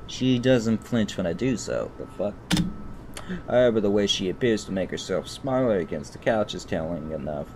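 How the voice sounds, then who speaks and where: A man's voice: lively intonation, moderate pace. A man streaming a visual novel, reading it aloud with profane, exasperated asides and stumbling over words. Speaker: gaming streamer. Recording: rumbly background noise.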